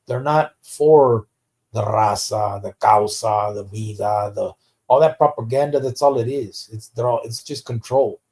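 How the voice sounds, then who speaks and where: A man's voice: on the low side, measured pace, darkish tone. Male live podcast host from the United States, streetwise and blunt, casual and profane, with slang mixed in. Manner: slips Spanish slang into English. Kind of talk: live stream casual chat, reading out viewer comments.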